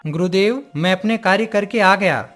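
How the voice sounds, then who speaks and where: A man's voice: high voice, moderate pace, fairly bright tone, soft background music. A man playing a humble, dutiful young prince in a cartoon moral story, speaking respectfully and earnestly in formal words. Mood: earnest, pleading.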